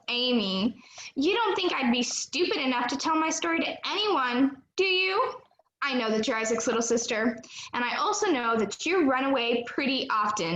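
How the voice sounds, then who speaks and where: A woman's voice: high voice, fairly bright tone, echoey room, poor audio. A woman in an amateur play acting a cocky, scheming teenage bank robber with a breezy, confident manner.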